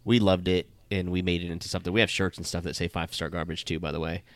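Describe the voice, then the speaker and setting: A man's voice: deep voice, level tone, quick pace, dry recording. A man co-hosting a podcast, stuffy from a cold, rambling with many fillers. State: stuffy, getting over a cold. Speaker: podcast co-host. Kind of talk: casual chat.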